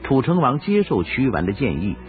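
A man's voice: medium pitch, huge pitch swings, normal speed. A man narrating a historical radio drama as a scripted, dramatic storyteller. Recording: dull recording with music behind. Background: music.

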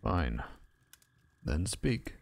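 Man as a deep, gravelly, haughty lord. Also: very animated, drawn-out words, darkish tone, exaggerated voice. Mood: disdainful. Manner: imperious, formal, disdainful. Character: stern, haughty male owl lord and cold father. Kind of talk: audio drama acting.

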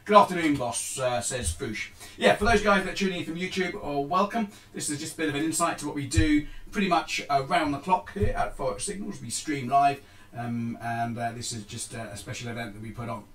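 Halting man explaining markets. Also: fairly high pitch, a bit bright, poor audio. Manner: explanatory, halting with many ums and restarts. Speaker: forex trading host. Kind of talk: live market commentary on a stream. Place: small indoor room.

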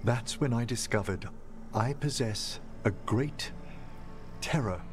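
Game casting: man voicing a refined older male necromancer, formal and measured, slow delivery, music behind. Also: mid-range voice, natural voice. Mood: haunted, solemn. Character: refined older gentleman necromancer, courteous and wistful. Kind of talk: video game dialogue acting.

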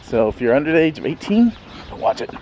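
A man's voice: on the high side, very animated, fast, dark tone, poor audio. Guy in his 30s rambling to his camera outside, lots of uhs, bit of outdoor noise. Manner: rambling, many fillers, chuckles often. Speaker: vlogger. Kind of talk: casual rambling vlog. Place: outdoors in a sunny backyard. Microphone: handheld camera at arm's length. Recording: faint outdoor background noise.

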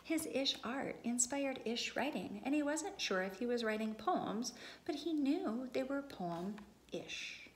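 A woman preschool teacher reading a story aloud to young children, expressive and deliberate, speaking to them directly. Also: sits high. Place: empty classroom. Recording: echoey room with background noise.

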